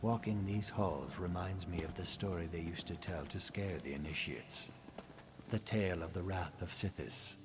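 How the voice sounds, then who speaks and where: A man voicing a somber, reflective assassin in a game, speaking gravely in scripted inner narration. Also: sits low, moderate pace, lightly acted. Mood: brooding. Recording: very noisy game audio with ambience.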